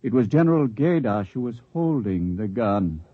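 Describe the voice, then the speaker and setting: An actor playing a shaken, insistent male scientist in a 1950s radio drama, speaking urgently and haltingly. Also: medium pitch, sing-song, no room sound. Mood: grave. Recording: dull, muffled 1950s radio recording.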